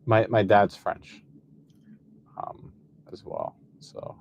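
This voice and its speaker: A young man in his early twenties, chatting loosely and fast, scattering "like" through his sentences. His voice sits a little low, with faint background noise behind it. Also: wildly swooping pitch, gravelly, a little mellow. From United States. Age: 20s. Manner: quick, loose, lots of "like". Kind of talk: casual conversation.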